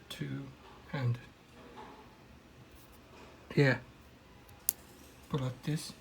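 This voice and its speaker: A man's voice: fairly high pitch, darkish tone, slight background noise. A young man whose first language is Kazakh, speaking plain non-native English with frequent hesitations while demonstrating electronics. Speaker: hobbyist electronics presenter. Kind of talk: hands-on electronics demo.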